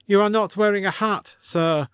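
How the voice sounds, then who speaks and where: A man voicing a pompous, formal Victorian English gentleman official, proud and strict about rules, in an audiobook story. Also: high voice, moderate pace, smooth, no room sound, studio quality, voice pushed up. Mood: officious.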